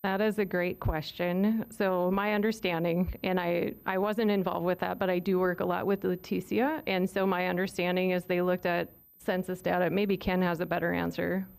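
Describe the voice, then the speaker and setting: A woman presents a report to a city commission in a low voice with a gravelly edge. She talks in a friendly, loose way with frequent fillers. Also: flat delivery, moderate pace, few pauses, darkish tone. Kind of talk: meeting presentation. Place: city meeting room.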